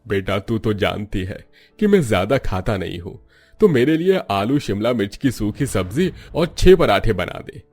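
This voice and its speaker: A family man in a domestic cartoon drama. His voice sits low and swings widely with dramatic expression. Music plays behind him. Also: sing-song, normal speed, darkish tone, husky, heavily acted. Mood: demanding.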